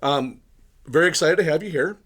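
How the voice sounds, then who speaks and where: A man hosting a podcast interview in casual chat, a little hoarse from bronchitis, rambling and often clearing his throat. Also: on the high side, fairly quick, fairly bright tone. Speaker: podcast host.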